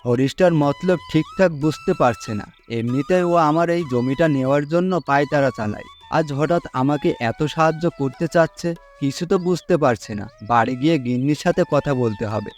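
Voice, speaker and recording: A poor, gullible farmer from a cartoon folk tale, voiced by a man. His voice sits fairly high, and he talks in an earnest, humble, deferential way, with music playing underneath. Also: brisk, hardly any pauses, natural voice. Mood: pondering, hopeful. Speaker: cartoon voice actor.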